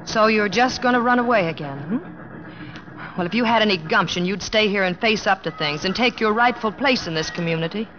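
A woman's voice: mid-range voice, normal speed, a bit bright, natural voice. An actress playing a sensible, spirited young small-town American woman, acting and narrating in a 1950s radio drama. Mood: frank, reproving. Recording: dull old radio broadcast.

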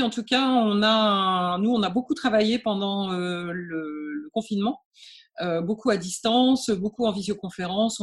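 An earnest woman explaining her project in a casual podcast conversation. She talks in long, explanatory stretches with frequent fillers. Her voice stays plain and conversational. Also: medium pitch. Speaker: project founder, guest.

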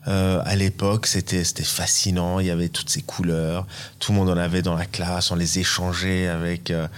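A man's voice: sits low, level tone, normal speed, smooth. A man in his fifties, from Iran, answering interview questions hesitantly, searching for words and repeating them. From Iran, then the US, UK and France. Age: 50s. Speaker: podcast guest, watch auction executive.

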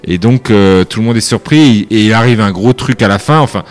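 A man's voice: on the low side, a little fast. A man chatting casually about films and cartoons as podcast co-host, hesitant with many fillers.

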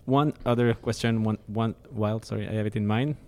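A man's voice: lowish voice, fairly even intonation, fairly quick, a little mellow. A man from France with a French accent, hosting a casual interview and asking long, winding questions full of restarts. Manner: friendly, rambling questions with restarts. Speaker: podcast host.

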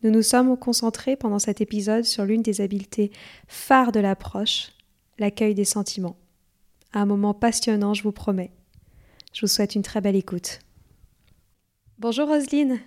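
Mid-pitched female podcast host, measured and clear. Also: steady tone, a little mellow. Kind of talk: scripted intro then interview questions.

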